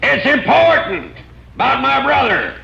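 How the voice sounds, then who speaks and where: A man playing an earnest, steady rancher in an old radio drama. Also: extremely high pitch, measured pace, creaky, a little hiss or hum, full character voice. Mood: calling out. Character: earnest, calm male rancher, dead man's brother. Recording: dull old radio recording.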